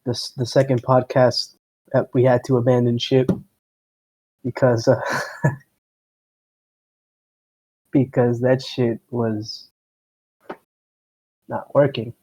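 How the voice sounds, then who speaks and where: Young dude w/ miami accent, rambly and sweary, just hanging out on a podcast. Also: male voice, medium pitch, little pitch movement, takes it slowly, pauses often, darkish tone, low-quality recording. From Miami, United States. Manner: rambling, profane, self-deprecating. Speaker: podcast co-host. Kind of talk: casual chat.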